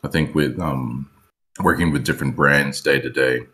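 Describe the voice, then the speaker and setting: Very deep-voiced man. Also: steady tone, normal speed, sharp tone. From Australia. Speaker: brand strategist. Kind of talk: self-introduction on a call.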